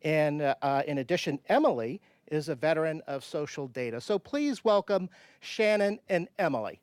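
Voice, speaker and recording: A man introduces speakers as a conference session host. His voice is fairly high and very clear, captured dry, and his delivery is measured and a bit halting. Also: lively intonation, a little mellow, no room sound.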